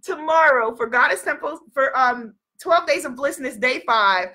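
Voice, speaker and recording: Woman coach on a live stream, kinda high voice, sniffly cold, cheering folks on with affirmations. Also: lively intonation, moderate pace, sharp tone. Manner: encouraging, repeats affirmations, reads out viewer comments. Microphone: live video stream.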